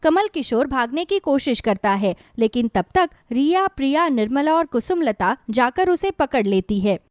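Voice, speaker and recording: A woman's voice: high voice, very animated, moderate pace, a little mellow, no echo, clean studio sound. A woman narrating a scripted moral bedtime story.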